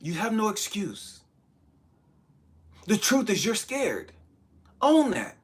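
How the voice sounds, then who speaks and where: A man giving a blunt, profane motivational talk, shouting one moment and dropping to hushed asides the next. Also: high-pitched, huge pitch swings, takes it slowly, slightly rough. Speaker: motivational speaker. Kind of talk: impassioned motivational monologue.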